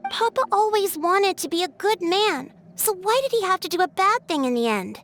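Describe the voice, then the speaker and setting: A creaky, high-pitched little child. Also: female voice, expressive, moderate pace, a bit bright, heavily acted. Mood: plaintive, puzzled. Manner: naive, plaintive. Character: innocent, sad little child who adores papa. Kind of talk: game cutscene dialogue.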